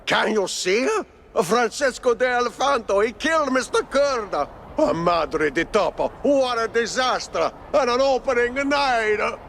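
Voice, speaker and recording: An exasperated circus boss with an Italian accent, high-pitched and swinging wildly in tune. He is a theatrical, excitable cartoon game character who exclaims his grievances, with faint noise behind him. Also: unhurried, vocal fry, exaggerated voice. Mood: dramatic, distressed.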